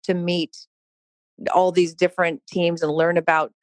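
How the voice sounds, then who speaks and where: A woman, a real estate company founder, telling a story as a podcast guest in an emphatic, animated way, laughing often and adding quick asides. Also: fairly low pitch, no echo. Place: podcast interview.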